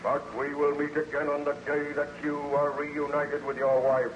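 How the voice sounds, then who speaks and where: A man playing a vengeful, tormented monster in an old-time radio drama, speaking slowly and grandly with menace. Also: on the high side, completely flat delivery, reverberant, lo-fi sound, close to an everyday voice. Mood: bitter, menacing. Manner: slow, grandiose, threatening. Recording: noisy old radio recording.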